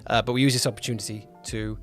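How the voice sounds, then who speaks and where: A man's voice: medium pitch, level tone, fast talker, soft background music. A man co-hosting a podcast as its producer, chatting casually and explaining things with frequent backtracking. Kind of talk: casual chat, project update.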